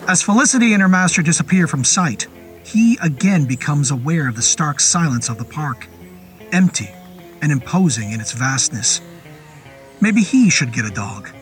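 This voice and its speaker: A man narrating a scripted horror story in a deliberate, literary and descriptive manner. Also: on the high side, fairly bright tone. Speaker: narrator of a horror fiction podcast. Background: music and rain sound effects.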